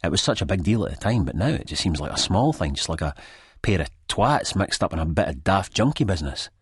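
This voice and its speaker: A Scottish man reading a first-person story in a colloquial, confiding, conversational way, with a husky voice. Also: low-pitched, big pitch swings, fast talker, dry recording, clean studio sound. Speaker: audiobook narrator. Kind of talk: dramatic first-person scripted narration.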